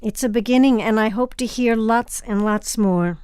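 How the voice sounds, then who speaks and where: A woman hosting a public radio show in a polished, measured, articulate way, presenting and interviewing. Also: a little high, a little mellow, clean tone, no room sound, clean studio sound.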